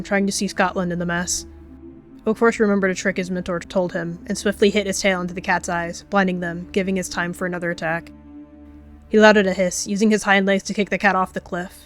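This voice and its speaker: A woman narrating as a storyteller, reading scripted fiction in a dramatic style. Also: mid-range voice. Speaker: storyteller and roleplayer.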